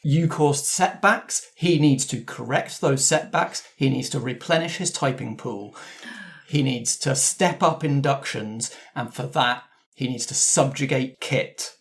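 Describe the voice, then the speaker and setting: A man's voice: mid-range voice, a little slow. Game master, male, mid-range voice, narrating tabletop roleplay. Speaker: game master of an actual-play podcast.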